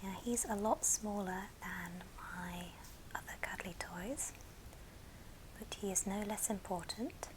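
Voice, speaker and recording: A woman whispering softly and breathily in a gentle, affectionate ASMR show and tell. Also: mid-range voice, takes it slowly, noisy background. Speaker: ASMR video host.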